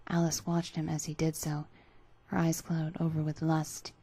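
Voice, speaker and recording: A woman gives a dramatic scripted narration of fan fiction. Her voice sits a little low and is distinctly husky and breathy. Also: monotone, normal speed, mellow.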